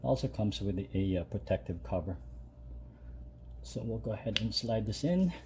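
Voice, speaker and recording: A man's voice: fairly low pitch, animated, normal speed, background noise. A man hosting a DIY video, talking through the steps casually and unscripted, with small hesitations. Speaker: host of a DIY truck modification channel. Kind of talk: casual step-by-step how-to narration. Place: home garage.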